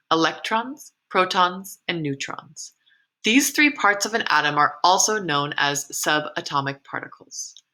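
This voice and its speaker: Bright-voiced woman teacher reading aloud. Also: lowish voice. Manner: encouraging, explains as she reads, with slips and self-corrections. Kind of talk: reading a science article aloud for a class.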